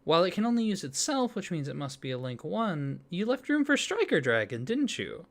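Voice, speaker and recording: A man speaking softly and warmly in an ASMR roleplay as a friendly card designer, gently enthusiastic. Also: high-pitched, very animated, a little fast, gravelly. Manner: soft-spoken, gently enthusiastic. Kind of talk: soft-spoken ASMR roleplay.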